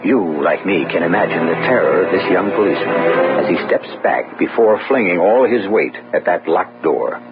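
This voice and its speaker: A man hosting a radio drama with ominous, measured, scripted suspense narration. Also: sits low, expressive. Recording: dull old radio broadcast. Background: loud dramatic music.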